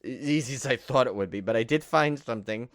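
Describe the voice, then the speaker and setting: A man's voice: mid-range voice, fast, no room sound, clean studio sound. A man co-hosting a horror film podcast in casual chat, rambling and jokey as he talks. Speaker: podcast co-host.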